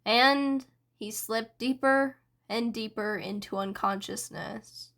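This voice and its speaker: A woman reading fanfiction aloud in soft, unhurried audiobook narration. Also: a little high. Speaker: fanfiction reader.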